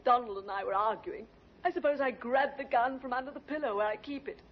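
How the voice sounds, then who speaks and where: An actress playing a jealous, haughty young society woman prone to shrill, hysterical laughter in a 1950s radio drama. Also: fast talker, lightly acted. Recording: dull old 1950s radio recording.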